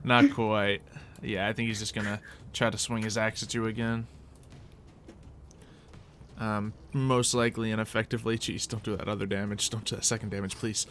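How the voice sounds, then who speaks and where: A man's voice: on the low side, little pitch movement, moderate pace, a bit bright, a little hiss or hum. A man acting as game master, narrating a tabletop roleplaying game in a casual, informal, jokey way.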